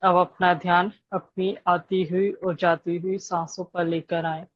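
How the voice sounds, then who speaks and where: A woman leading a guided meditation, giving gentle instructions with long pauses between phrases. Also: fairly low pitch, little pitch movement, no echo, lo-fi sound.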